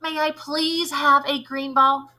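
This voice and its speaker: Storybook schoolgirl, played by a woman: high, bright, stubborn and pleading. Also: level tone, slow pace, heavily acted. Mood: eager. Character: stubborn, eager schoolgirl who wants a green ball.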